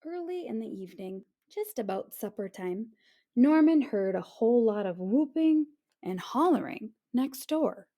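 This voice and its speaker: A woman narrating a children's storybook in an expressive read-aloud style. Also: fairly high pitch, measured pace. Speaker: storybook reader.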